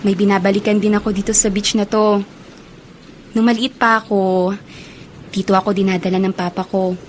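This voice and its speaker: A woman's voice: medium pitch, level tone, normal speed, slight background noise, lightly acted, low-quality recording. A woman playing a friendly, curious young college student in a Filipino radio drama, speaking with a Filipino accent. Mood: wistful.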